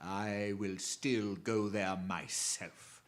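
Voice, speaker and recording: A man's voice: fairly low pitch, fairly even intonation. An actor playing a gloating, menacing crime mastermind in a radio drama, relishing and repeating his threats. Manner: slow relishing menace, chuckling, repeats phrases.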